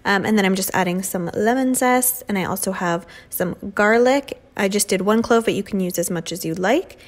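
A woman's voice: mid-range voice, normal speed. Chatty young woman narrating a recipe. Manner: chatty, many "um" and "like" fillers. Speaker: beauty vlogger cooking at home. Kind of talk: casual cooking voice-over. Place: home kitchen.

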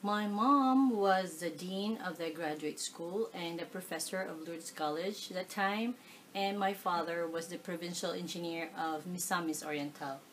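A middle-aged woman from the Philippines with a Filipino accent, answering oral history questions in a living room, animated, with many fillers. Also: on the low side, unhurried, slight background noise, rough recording. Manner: animated, gestures while talking, frequent fillers. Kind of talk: casual oral history interview. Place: living room, seated on a leather sofa.

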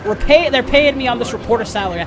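A woman's voice: fairly high pitch, fast, crowd noise. A woman chatting casually as host of a game livestream, with playful, sweary banter. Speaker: streamer host.

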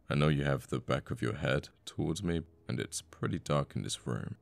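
A man playing a cocky assassin boyfriend in an intimate ASMR audio roleplay, murmuring and sweary, with sighs and pauses. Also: extremely low pitch, creaky, dry recording, heavily acted. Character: cocky young male assassin, flippant, menacing, secretly a devoted boyfriend.

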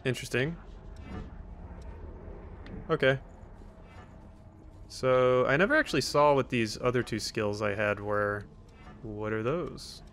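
A man with a mid-pitched voice gives casual commentary as a let's play host. He is wry and teasing, often talking back to the game's characters. Faint game noise sits behind him. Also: steady tone, takes it slowly, pauses often, fairly bright tone. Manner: reads on-screen text aloud. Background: video game sounds.

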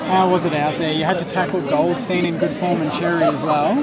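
A young Australian man interviewing a footballer in an upbeat way, asking quick questions and agreeing often. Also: on the high side, level tone. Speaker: club media interviewer. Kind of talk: casual post-game interview. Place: team change rooms after a match. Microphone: handheld mic. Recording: loud crowd noise behind.

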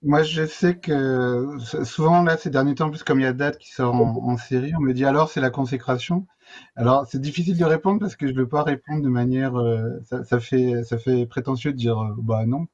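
A man's voice: mid-range voice, steady tone, normal speed, darkish tone. A middle-aged man in a casual panel discussion, answering carefully in a tentative, stop-and-start way. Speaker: guest comic book author. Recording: slightly dull video call audio.

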